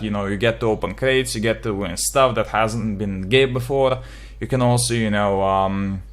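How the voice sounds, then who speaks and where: A young man from Slovenia with a Slovenian accent, giving his opinion in a casual gaming vlog, rambling with lots of fillers and swearing freely. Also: medium pitch. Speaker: gaming video creator.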